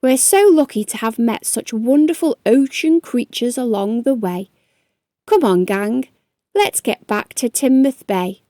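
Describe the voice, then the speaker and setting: A woman's voice: a little high, expressive, unhurried, mellow, no echo, clean studio sound. Kind, brave girl heroine, read by a woman. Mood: cheerful. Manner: reassuring, cheery. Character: kind, brave, upbeat young female sea rescuer. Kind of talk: children's storybook character.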